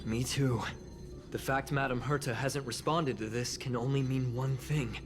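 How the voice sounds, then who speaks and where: An actor playing an excitable young space station investigator who puzzles aloud in scripted game dialogue. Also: medium pitch, moderate pace, natural voice. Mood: puzzled, uneasy. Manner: animated, pondering aloud. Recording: background music under dialogue.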